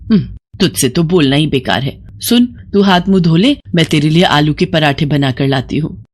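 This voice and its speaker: A woman voicing a doting middle-aged village mother who scolds fondly and questions excitably in a moral story. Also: deep voice, moderate pace. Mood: fussing, scolding. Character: doting, fussing village mother, eager for son's wedding.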